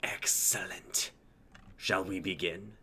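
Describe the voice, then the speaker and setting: A man voicing an ancient, vengeful dragon king in a dramatic reading, with a breathy growling whisper and grandiose speech. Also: sits low, steady tone, a crowd in the background. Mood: intrigued, haughty. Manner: slow, grandiose, archaic speech.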